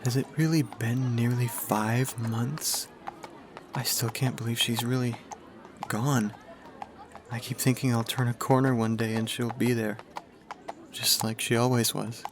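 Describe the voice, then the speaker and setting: A man's voice: mid-range voice, steady tone, measured pace, pauses often, natural voice. A man playing an earnest, friendly young pony student in a fan radio drama, natural and conversational. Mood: wistful. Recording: noisy, busy crowd ambience.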